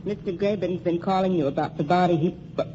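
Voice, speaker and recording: An actress playing a streetwise young nightclub singer in a 1940s radio drama, brassy and slangy. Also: female voice, fairly low pitch, a little fast, warm tone, lightly acted. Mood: defensive. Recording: muffled 1940s radio broadcast with faint hiss.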